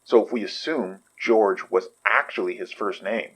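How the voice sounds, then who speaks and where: A man acting in an audio horror drama as a blunt, level-headed, sharp-minded investigator. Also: fairly low pitch, normal speed, slightly rough, rough recording. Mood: reasoning. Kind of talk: audio fiction podcast acting.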